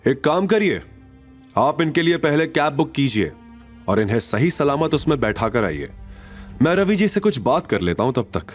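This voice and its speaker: A man voicing a calm, magnanimous young chairman in an audio drama, composed and gently authoritative. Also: medium pitch, moderate pace, faint music behind, voice pushed up. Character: calm, magnanimous young male chairman hiding his wealth. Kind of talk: Hindi audio drama dialogue.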